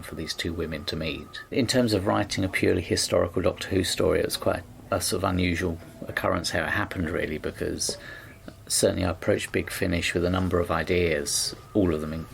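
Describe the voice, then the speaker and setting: A man with a low, gravelly voice talks reflectively about his work in an interview. His phrasing is a little hesitant and his tone stays level, with some noise in the background. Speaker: scriptwriter. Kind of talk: behind-the-scenes interview.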